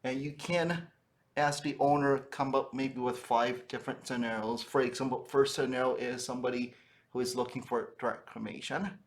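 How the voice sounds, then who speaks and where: A man's voice: medium pitch, steady tone, moderate pace. A man giving an informal instructional talk as a trainer, conversational and often checking in with a tagged-on "right?". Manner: conversational, frequently tags phrases with "right?". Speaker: sales trainer for funeral businesses.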